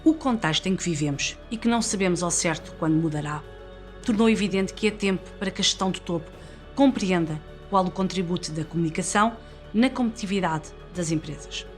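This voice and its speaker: Lower-voiced woman, European Portuguese accent, corporate narration. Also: brisk. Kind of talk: scripted corporate report narration. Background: music.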